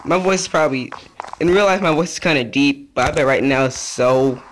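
Young dude chatting thru his game, mid pitch voice, gets excited fast, bit of hiss. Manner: chatty, rambling, easily excited. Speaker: gaming youtuber. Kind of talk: casual game commentary.

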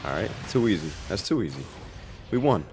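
A man's voice: low voice, sing-song, a little fast, noisy background. A man doing casual gameplay commentary, full of slang and swearing. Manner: slangy, profane. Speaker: gaming streamer.

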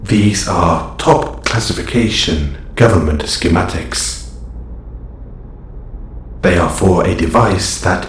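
A man playing a calm, formal robot superhero with quiet menace, speaking in stiff, precise phrases in an audio roleplay. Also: low-pitched, takes it slowly, pauses often. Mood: formal, guarded. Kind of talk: scripted audio roleplay acting. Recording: echoey, faint background ambient noise.

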